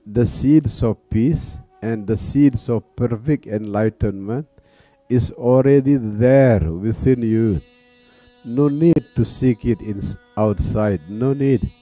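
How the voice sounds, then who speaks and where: A man teaching guided meditation in a calm manner, leaving long pauses between phrases. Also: mid-range voice, no echo. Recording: dull, lo-fi sound. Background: soft music.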